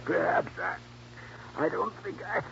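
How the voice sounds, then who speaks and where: Muffled old radio, sick farmer, gravelly, high-pitched, faltering, desperate. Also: male voice, steady tone, unhurried, a bit bright, a little hiss or hum, full character voice. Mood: failing, desperate. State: coughing, faltering, failing. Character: ailing farmer gasping out his last words. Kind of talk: old-time radio drama acting.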